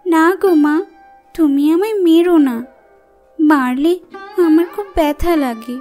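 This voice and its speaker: A woman's voice: very high voice, a little slow, dark tone, faint music behind. A woman voicing a plaintive young girl in a fairy-tale cartoon, pleading in a whiny way. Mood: sorrowful, pleading.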